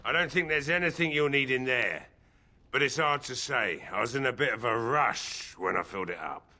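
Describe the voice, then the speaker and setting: A man's voice: medium pitch, moderate pace, sharp tone. An actor playing a plain-spoken, offhand village man in a fantasy video game. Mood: casual, guarded. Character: plain-spoken village man guarding his hidden stash. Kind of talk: video game dialogue acting.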